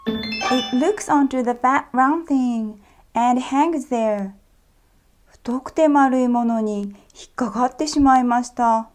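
Woman reader, high voice, slow gentle storytelling, faint music behind. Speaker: picture-book reader. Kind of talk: bilingual storybook read-aloud.

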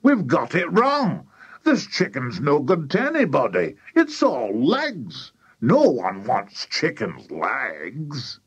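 A man voicing an excitable, blustering, impatient father in a children's story, sputtering with excitement. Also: medium pitch, moderate pace, slightly rough, lo-fi sound, voice pushed up. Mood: dismayed.

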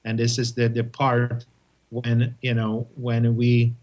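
A man from Czechoslovakia with a Czech accent, answering interview questions in halting English full of restarts. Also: medium pitch, completely flat delivery, darkish tone. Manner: halting, many restarts and repeated words. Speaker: guest, testing company representative.

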